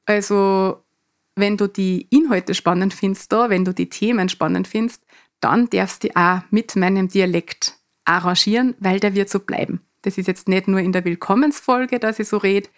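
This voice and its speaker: A middle-aged woman from Lower Austria with a Mostviertel dialect accent, earnest, hosting a solo coaching podcast. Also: normal speed. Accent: Mostviertel Austrian dialect with a touch of Salzburg. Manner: earnest, speaks in dialect rather than standard German.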